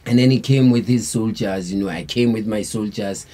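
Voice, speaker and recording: A man's voice: lowish voice, normal speed, mellow, slight background noise. A South African man, a band member, telling a long story in a laid-back, rambling way with lots of slang fillers. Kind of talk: casual storytelling interview.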